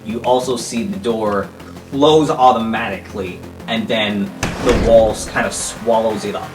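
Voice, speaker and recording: A man narrating a tabletop game as game master, improvising scene descriptions in a halting way. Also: on the low side, fairly even intonation. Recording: instrumental music under the voice.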